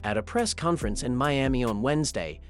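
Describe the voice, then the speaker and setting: Steady male sports news narrator. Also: medium pitch, a little fast, gravelly, faint music behind.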